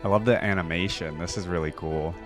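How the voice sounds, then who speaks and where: A man's voice: sits low, brisk. A man giving casual, enthusiastic reaction commentary in an informal, slangy way, as a video host. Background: music from the videos being watched.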